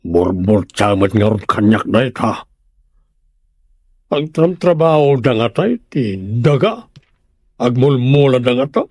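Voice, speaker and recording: A man's voice: mid-range voice, very animated, unhurried, pauses often, a little mellow, no room sound, studio quality. A man with a Filipino Ilocano accent acting a patient family man in an audio drama, coaxing and conversational. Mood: dismissive. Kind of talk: radio drama acting in dialogue.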